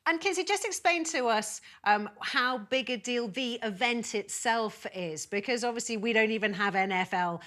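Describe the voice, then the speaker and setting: A woman's voice: on the high side, moderate pace, few pauses, sharp tone. A British woman presenting a live TV news discussion and putting questions to guests. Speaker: news show host. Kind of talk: live TV interview.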